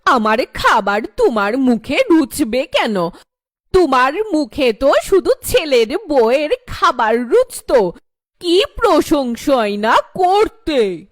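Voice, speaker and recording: Woman acting spiteful housewife, high slow voice. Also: very animated, dry recording, clean studio sound, heavily acted. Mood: defensive, scornful. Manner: scornful, sarcastic, complaining. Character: spiteful older housewife, bitter mother-in-law. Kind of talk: animated horror story drama dialogue.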